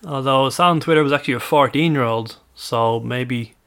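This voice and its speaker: A man's voice: medium pitch, fairly quick, a bit bright, smooth. A man with an Irish accent hosting a casual podcast, chatting easily, often laughing and correcting himself mid-sentence. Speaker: podcast host. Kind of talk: casual chat.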